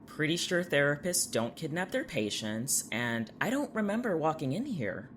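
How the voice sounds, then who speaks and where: A man's voice: fairly high pitch, sing-song, normal speed, a bit bright, a little hiss or hum. An actor playing a wary, irritated man, blunt and skeptical, in an audio drama. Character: wary, irritated man held by a strange therapist.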